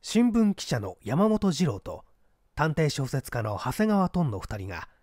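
Dramatic male mystery narrator, lively intonation. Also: a little high, unhurried, no room sound, studio quality. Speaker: audiobook narrator, stage actor.

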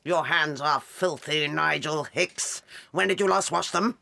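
An actress playing a tyrannical, bullying headmistress in an audiobook drama, barking with contempt. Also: female voice, low-pitched, a little slow, creaky, pushed and bright, full character voice. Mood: stern impatience.

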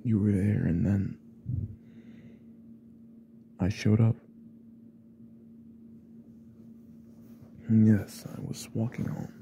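A man playing a possessive, obsessive young classmate in an ASMR roleplay, speaking in a hesitant, breathy half-whisper. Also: fairly low pitch, steady tone, takes it slowly, a little hiss or hum. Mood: hushed, evasive. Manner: hesitant, hushed, probing.

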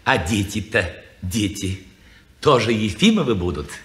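A petty, boastful clerk in a vintage radio play. He talks slowly and sarcastically, muttering under his breath between bursts. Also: on the low side, lively intonation, fairly bright tone, reverberant. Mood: scheming. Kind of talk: 1950s radio drama acting.

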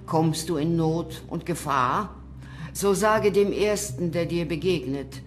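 An actress playing an ancient, dignified, mysterious female elder in a radio drama, solemn and deliberate. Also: lowish voice, soft background music. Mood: solemn, secretive. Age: older.

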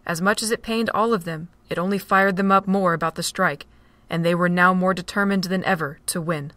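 A woman narrating an amateur audio drama in a steady, plain reading. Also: lowish voice, fairly quick, no echo. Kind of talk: storybook narration.

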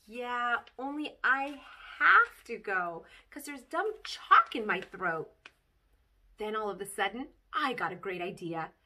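Woman as an animated, excitable little storybook girl. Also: on the high side, slow, exaggerated voice. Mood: bragging, chatty. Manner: childlike phrasing, dramatic, bubbly. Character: silly, excitable, mischievous little kindergarten girl telling her story. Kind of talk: expressive children's storybook read-aloud.

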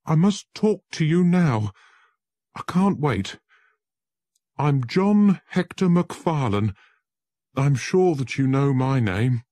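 A man's voice: deep voice, sing-song, slow, a little mellow, no room sound, full character voice. A man voicing a frightened young male lawyer who pleads with desperate urgency.

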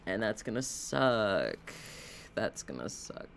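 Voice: mid-range voice, sing-song, slow pace, vocal fry, a little hiss or hum. A video game streamer giving casual live commentary, rambling and wryly talking to themself and the game. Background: video game sounds.

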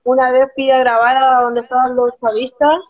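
A young woman from Chile speaks with a Chilean accent, earnest and fast, circling back to restart her sentences. Her voice sits a little high. The sound is dull and lo-fi, as from a phone camera on a video call. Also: level tone, a little mellow. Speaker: guest, documentary photographer. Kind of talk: casual live-stream interview. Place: small room at home, indoors.

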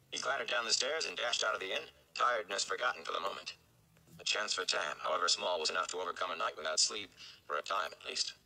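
Young male narrator, deep and creaky, dramatic fantasy read-aloud. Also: pushed and bright, poor audio. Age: young adult. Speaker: audiobook-style reader for a fan read-along. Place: small indoor room.

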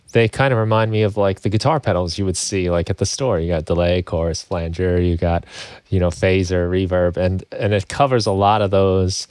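Low-voiced male podcast host, dry sound. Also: dry recording. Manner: relaxed, conversational, chuckles while talking. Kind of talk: casual interview chat. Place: home music studio.

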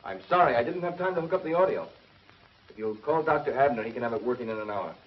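An actor playing a grave, urgent scientist hero in a 1950s TV sci-fi serial, with a solemn, declamatory delivery. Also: male voice, mid-range voice, expressive, fast, breathy edge. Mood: businesslike. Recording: muffled 1950s TV soundtrack with music.

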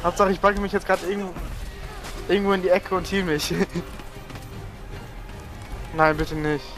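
A man's voice: high voice, fairly even intonation, slow pace, over music. A young man chatting with friends over a game, telling long rambling stories about his bad luck in the match. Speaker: co-player. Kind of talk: casual multiplayer gaming chat.

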